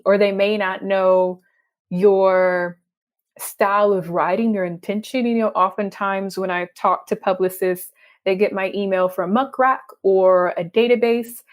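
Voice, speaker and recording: Young texan woman, freelance writer, talks fast and chatty, laughs a lot. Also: mid-range voice. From Texas. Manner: chatty, animated, laughs often. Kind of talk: conversational interview.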